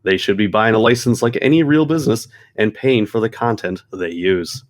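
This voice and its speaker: Guy cohost, fairly deep voice, casual podcast banter and read-outs. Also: normal speed. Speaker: podcast co-host. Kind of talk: casual banter and reading a quote aloud.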